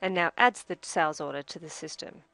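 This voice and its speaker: A woman with a slightly low voice narrates a scripted software demonstration. She explains each step in a measured way. The sound is very dry, with no room echo. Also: vocal fry.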